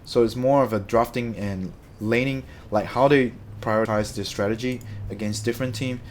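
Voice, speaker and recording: A man's voice: medium pitch, steady tone, moderate pace, a little hiss or hum. Chatty Malaysian-born man streaming casually. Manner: rambling, self-correcting, chatty. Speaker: streamer answering chat. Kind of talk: casual live-stream chat.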